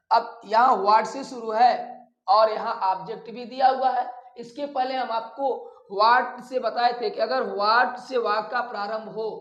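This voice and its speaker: Grammar teacher, North Indian accent, unhurried and instructive, mid-pitched voice with question-and-repeat delivery. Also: fairly bright tone, highs cut off. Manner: instructive, repeats phrases and poses questions to students. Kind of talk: explanatory grammar lesson. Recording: roomy, echoey sound.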